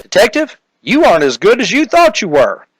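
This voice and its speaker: A man's voice: sits high, wildly swooping pitch, a little fast, background noise, pitched above the performer's own voice. A man voicing a jolly but easily indignant old Santa Claus in a comic detective parody. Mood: matter-of-fact.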